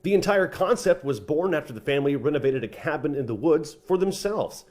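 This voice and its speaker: Male American feature reporter. Storytelling narration, lively melodic swings, slightly high register. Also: fairly quick. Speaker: TV news reporter.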